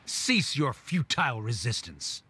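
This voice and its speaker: A man's voice: mid-range voice, wildly swooping pitch, takes it slowly, bright, forceful tone, slight background noise, full character voice. A man playing a pompous, condescending academy official in a video game, lecturing in formal, pedantic phrases. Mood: scornful. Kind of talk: video game character acting.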